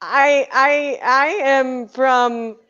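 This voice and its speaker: A woman's voice: sits high, fairly even intonation, drawn-out words, sharp tone, clean tone, full character voice. A woman playing a flustered, stammering woman in an improv comedy scene, with a dull lo-fi sound. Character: flustered fan-obsessed woman.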